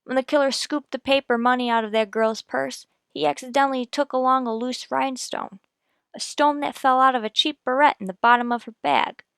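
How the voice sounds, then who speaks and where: A woman's voice: sits high, vocal fry, a bit bright, clear, resonant tone, dry recording, studio quality. A woman playing a coolly methodical police detective sergeant in a radio crime drama, clipped and matter-of-fact.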